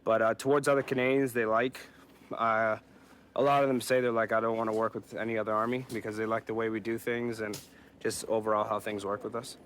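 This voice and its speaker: A man from Pakistan, an army corporal, speaking casually with many pauses and fillers in an interview. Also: lowish voice, little pitch movement, a bit bright, a little hiss or hum.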